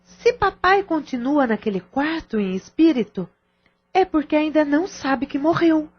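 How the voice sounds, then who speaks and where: An actress playing a sensible, composed sister-in-law in an audio novel, speaking measured formal lines with a Brazilian accent. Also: female voice, high-pitched, animated, lo-fi sound, background noise. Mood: calm, reasoning. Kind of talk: audio-novel acting, formal scripted dialogue.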